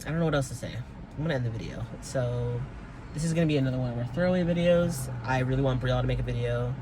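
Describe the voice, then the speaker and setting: A man's voice: mid-range voice, rapid-fire. Hesitant young man rambling in a car. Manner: hesitant, rambling, many fillers, casual swearing. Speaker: vlogger. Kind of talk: rambling personal vlog. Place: inside a car. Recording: car noise in background.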